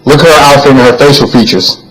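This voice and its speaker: A man's voice: mid-range voice, fast, fairly bright tone, low-quality recording. A man narrating a video, reading written text aloud in a plain, earnest way and sometimes stumbling and repeating words.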